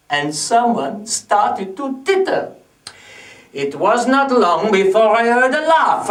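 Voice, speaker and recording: A man's voice: high voice, fairly bright tone, full character voice. A man playing a proud French music-hall performer of the belle epoque, telling his life as a theatrical rhyming monologue in a French accent. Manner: theatrical, deliberate, dramatic storytelling. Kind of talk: rhyming comic stage monologue. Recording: echoey room, distant mic.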